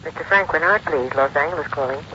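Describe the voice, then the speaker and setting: A woman's voice: low-pitched, fast, natural voice. A woman playing a polite long-distance telephone operator in an old radio drama, clipped, sing-song and procedural. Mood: brisk, polite. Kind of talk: 1940s radio drama acting. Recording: tinny, hissy old radio recording.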